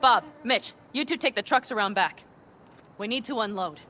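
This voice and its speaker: A woman's voice: on the high side, animated, moderate pace, sharp tone, a little hiss or hum. A woman playing a fiery, defiant young nomad in a video game, blunt and passionate, swearing freely. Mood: firm, curt. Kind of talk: dramatic video game acting.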